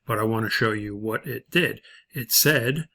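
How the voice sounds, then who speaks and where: A man narrating an unscripted, step-by-step spreadsheet tutorial as an instructor, in a halting way. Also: lowish voice, pushed and bright.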